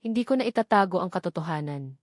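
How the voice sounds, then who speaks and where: A woman's voice: on the low side, lively intonation, fast talker, dry recording, clean studio sound, natural voice. A woman reading the part of a resolute, earnest husband defending his family in an audio drama. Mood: firm anger. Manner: firm, earnest.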